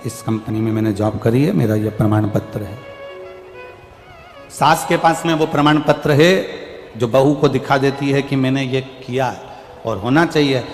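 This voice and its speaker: Male devotional preacher, storytelling, repeats lines for weight; roomy hall sound. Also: mid-range voice. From central India. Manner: emphatic, repeats phrases for effect. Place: large devotional gathering venue. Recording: echoey hall, faint music.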